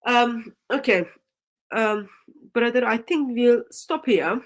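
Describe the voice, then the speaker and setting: Halting woman with a Turkish accent. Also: a little high. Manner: halting, many ums and restarts. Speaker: stream host. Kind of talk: livestream discussion.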